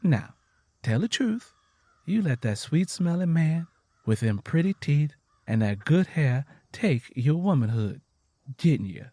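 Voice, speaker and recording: A wary young country wife from the acted by a man in a narrated story. She is blunt and teasing in a folksy dialect, with a raised, very mobile pitch and a dry, close sound. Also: very animated, a little slow, dark tone, no room sound. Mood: teasing, suspicious. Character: worn, wary, teasing 26-year-old Southern country wife.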